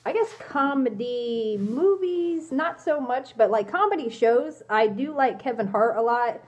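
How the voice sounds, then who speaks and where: Young woman, congested, hesitant, apologetic, slightly high voice, phone at arm's length. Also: lively intonation, a little slow, a little mellow. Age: young adult. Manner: hesitant, lots of ums, apologetic. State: congested from a cold. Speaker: fursuit vlogger answering questions. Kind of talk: casual Q&A vlog. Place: small indoor room, in costume. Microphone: phone camera at arm's length.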